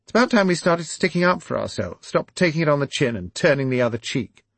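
A man's voice: fairly high pitch, very animated, fast, vocal fry, no echo, voice pushed up, heavily acted. A man voicing a hotheaded younger aristocrat who rants emphatically. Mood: ranting. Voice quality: ranting, emphatic.

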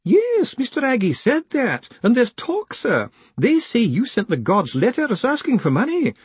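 A weepy older woman clerk wails and sobs her way through every line, her voice pitched high and full of breathy catches, as a man puts her on. Her pitch leaps and plunges with each fresh surge of despair. The sound is close and dry. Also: huge pitch swings, measured pace, dry recording, exaggerated voice. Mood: tearful. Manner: wailing, sobbing. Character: tearful older woman post office clerk, melodramatic.

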